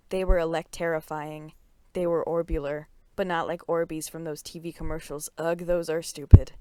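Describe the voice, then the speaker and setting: A woman's voice: fairly low pitch, dark tone, clear, resonant tone, no room sound. Woman doing mock-dramatic reading, dry sound. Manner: theatrical, playfully emphatic. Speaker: reader of a comic story.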